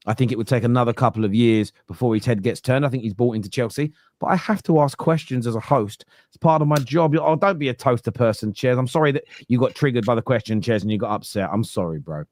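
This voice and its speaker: A young man with a Cockney accent hosting a football fan podcast, teasing and animated, often talking over the others. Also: mid-range voice, quick pace, few pauses. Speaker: podcast host. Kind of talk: heated football fan debate.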